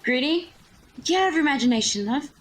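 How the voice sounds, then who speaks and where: A woman's voice: high-pitched, expressive, quick pace, soft background music, poor audio. A woman playing a chatty, friendly young working-class factory worker in an audio drama.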